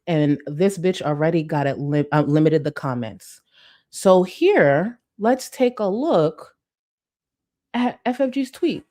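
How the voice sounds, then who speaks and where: A woman's voice: sits low, dark tone. A woman hosting a live commentary stream, reading chat and mocking her target with heavy sarcasm and swearing.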